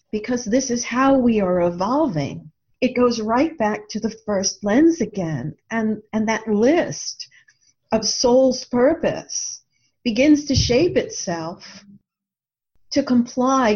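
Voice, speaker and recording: A woman explaining her ideas in a reflective interview style, speaking earnestly and deliberately, with emphatic repeated phrasing. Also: mid-range voice, a little mellow. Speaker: author and podcast guest. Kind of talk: reflective explanatory talk about own ideas. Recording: dull, lo-fi audio.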